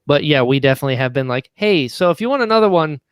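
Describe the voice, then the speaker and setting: A man's voice: on the high side, animated, racing, clean tone, no echo, studio quality. A man chatting casually as an interview guest, enthusiastic and talkative, often chuckling as he goes. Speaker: guest, audio drama writer and actor.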